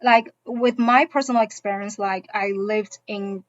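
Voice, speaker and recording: A woman from China, a native Mandarin speaker with a Mandarin accent, explaining a school program to parents as a teacher in halting English. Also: medium pitch, steady tone, dry recording. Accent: Mandarin Chinese. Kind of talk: informational presentation to parents. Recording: dull, muffled online-session audio.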